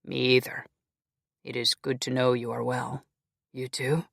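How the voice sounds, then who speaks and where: Narrator doing a stern unbending warrior guy, firm low voice, dry sound. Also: little pitch movement, unhurried, gravelly, fairly bright tone, no room sound.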